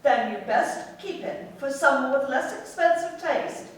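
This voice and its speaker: High-pitched, proud barmaid in echo. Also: female voice, animated. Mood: wistful, proud. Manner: brisk, teasing, haughty. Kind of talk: radio play acting. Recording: very echoey, distant from the mic.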